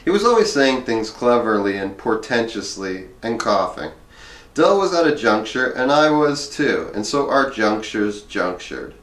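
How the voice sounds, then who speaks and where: A man's voice: lowish voice, very animated, a little slow, fairly bright tone. Man reading aloud expressively in an echoey room. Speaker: reader of a memoir. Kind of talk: expressive audiobook-style reading aloud with casual asides.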